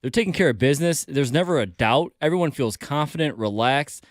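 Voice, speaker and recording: A man co-hosting sports radio in a lively, teasing way. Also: on the high side, fairly quick, dry recording, studio quality. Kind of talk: casual sports talk. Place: radio studio.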